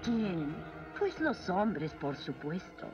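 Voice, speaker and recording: A woman's voice: on the low side, very animated, very slow, heavily acted. A woman playing a proud, cold cartoon queen, regal and formal, with a Latin American Spanish accent. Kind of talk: dubbed animated series acting. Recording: dull dub audio with music.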